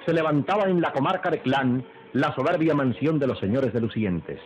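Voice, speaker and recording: A man acting as a grandiloquent radio drama narrator with a sweeping, animated delivery. He speaks solemnly in an old, dull recording, with music strong behind him. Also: medium pitch, normal speed, lightly acted. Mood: grand, solemn. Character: solemn male narrator of a melodramatic radio serial.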